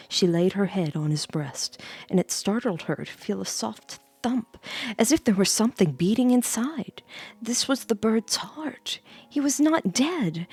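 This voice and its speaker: A woman's voice: medium pitch, mellow, breathy edge. A woman streamer reading a bedtime story aloud, dropping in chatty asides of her own.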